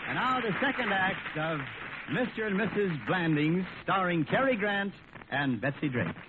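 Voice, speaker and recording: A man's voice: high-pitched, animated, moderate pace, very noisy. A man announcing and narrating a scripted 1950s radio comedy. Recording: tinny old radio, loud music behind.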